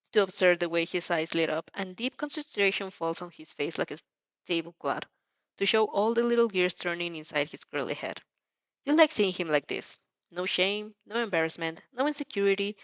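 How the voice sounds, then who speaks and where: A woman reading a story aloud as an expressive amateur narrator, sometimes stumbling and rereading phrases. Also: fairly low pitch, normal speed, no room sound, dull audio. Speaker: fan fiction narrator.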